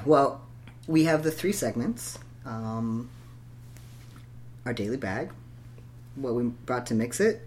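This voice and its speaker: A woman's voice: very deep voice, a little mellow. A woman co-hosting a casual podcast chat, leading the talk and laughing easily, with a faint hum behind her. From Canada. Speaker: podcast co-host.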